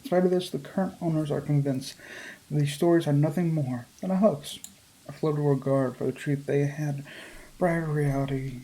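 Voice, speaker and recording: A man's voice: a little high, steady tone, moderate pace, mellow, low-quality recording. A man reading visual novel text aloud as a gaming YouTuber, with casual commentary.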